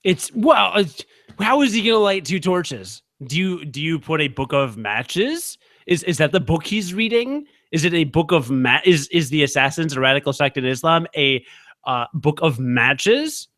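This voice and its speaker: A man's voice: on the high side, animated, normal speed, fairly bright tone, no room sound, clean studio sound. A man co-hosting a podcast in casual chat, joking and sarcastic, often playing a mock expert. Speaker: podcast co-host.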